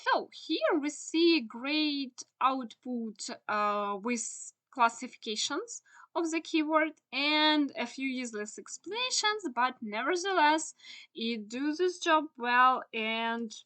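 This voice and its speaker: A young woman with a Ukrainian accent, a native Ukrainian speaker, explains a screen-share tutorial in English. Her voice sits fairly high and sounds bright and crisp. She pauses often on "uh" and phrases things in halting, non-native English. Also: lively intonation. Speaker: SEO instructor.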